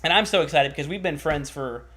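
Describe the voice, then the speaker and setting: A man's voice: fairly high pitch, quick pace, sharp tone. A man hosting a livestreamed church broadcast, upbeat and enthusiastic as he interviews a guest. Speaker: church host.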